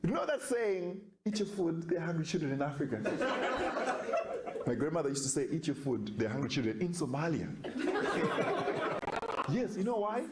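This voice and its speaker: A man's voice: a little high, very animated, moderate pace, long pauses. A man performing stand-up, chatting with the audience in a friendly, conversational way. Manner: chatty crowd work. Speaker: stand-up comedian. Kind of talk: stand-up comedy set. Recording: echoey noisy club.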